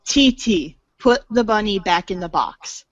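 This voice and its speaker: Female narrator, storybook-style scripted read, theatrical, mid-pitched, slightly lo-fi. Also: expressive, unhurried, dry recording.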